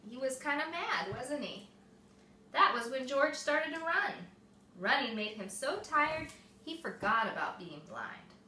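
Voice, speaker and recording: A woman reading a picture book aloud to young children, expressive and explaining things simply, like a mother at storytime. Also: sits high, measured pace. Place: echoey room with small children present. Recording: echoey room, distant mic, faint noise.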